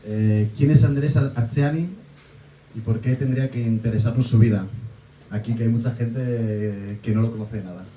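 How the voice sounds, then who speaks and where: A man's voice: mid-range voice, fairly even intonation, moderate pace, warm tone. A man moderating a public talk, asking brief plain questions. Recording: echoey room with faint background noise.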